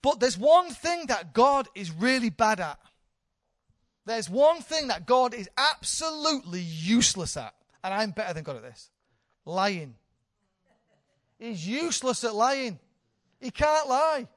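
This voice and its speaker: A woman's voice: a little high, very animated, unhurried, no echo, studio quality. A woman in her thirties, a church leader, giving an informal, candid sermon with self-deprecating humour. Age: 30s.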